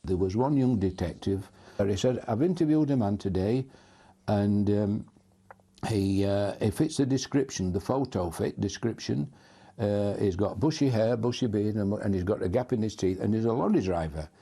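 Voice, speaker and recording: Older man, husky, low mellow voice, interview recollection. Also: moderate pace. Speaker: interviewee recalling a police investigation.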